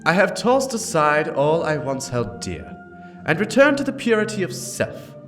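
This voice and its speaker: A bitter, defiant zealot played by a man declaims with raised, forceful pitch and big swings over music. It is fervent villain oratory from a video game tale. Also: sing-song, a little slow. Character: bitter zealot villain renouncing his past, leader of outcasts. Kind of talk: dramatic reading of video game lore.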